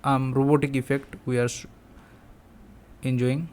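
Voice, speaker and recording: Man, tutorial presenter, slow pace, monotone delivery, halting phrasing. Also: mid-range voice. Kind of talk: step-by-step app tutorial.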